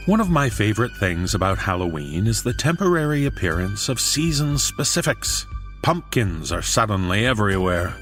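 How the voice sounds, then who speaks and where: Casting: a male horror podcast host, low voice, measured and playfully ominous, introducing the episode. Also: sing-song, a bit bright, soft background music.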